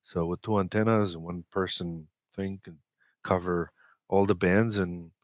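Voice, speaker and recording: Deep-voiced man, casual and halting. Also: fairly even intonation, no echo, clean studio sound. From Romania. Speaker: interview guest, amateur radio expeditioner. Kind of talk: casual technical interview talk.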